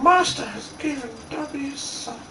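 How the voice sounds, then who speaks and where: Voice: high voice, lively intonation, moderate pace, crowd noise, voice pushed up. A reader voicing a small, eager, servile male house elf who speaks of himself in the third person in an amateur fan fiction reading. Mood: triumphant.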